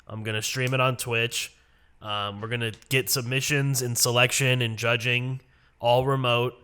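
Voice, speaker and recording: A man in his twenties, a guest on a casual podcast over a video call, chuckling often and letting his sentences trail off softly. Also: mid-range voice, flat delivery, normal speed, clean tone. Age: 20s. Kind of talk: casual conversation.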